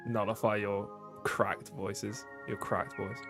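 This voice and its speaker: A young man co-hosting a podcast in casual chat, teasing his co-host in a dry, deadpan way. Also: lowish voice, slow pace, music in the background.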